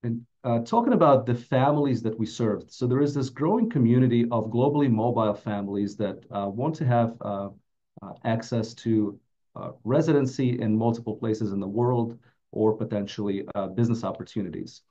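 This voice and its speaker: A middle-aged man with a mellow voice, giving a prepared webinar presentation as a financial advisor. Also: medium pitch, normal speed. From Latvia. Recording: dull, muffled webinar audio.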